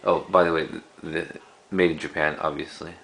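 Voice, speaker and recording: A man giving a casual, unscripted show-and-tell of a collection in a halting, rambling way. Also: rumbling low, little pitch movement, vocal fry, fairly bright tone, a little hiss or hum. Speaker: cassette collector presenting a collection.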